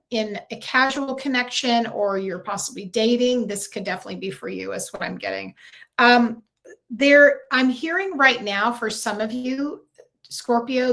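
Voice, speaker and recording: Woman, livestream tarot host, a bit high-pitched, loose and chatty, home mic. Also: fairly bright tone. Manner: chatty, reads out viewer comments, many fillers and restarts. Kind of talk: casual livestream chat.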